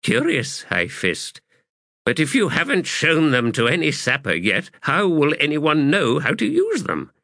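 A man's voice: a little high, huge pitch swings, unhurried, creaky, pushed and bright, no echo, studio quality, voice pushed up, full character voice. A man voicing a polite, puzzled military subordinate who questions his commander in an audiobook.

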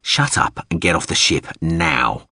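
A commanding male starship captain in a science fiction audiobook, played by a male reader. The voice is low and husky. The delivery is terse and clipped, like someone in charge under pressure. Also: a little slow, bright, forceful tone, no echo, studio quality, full character voice. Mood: curt.

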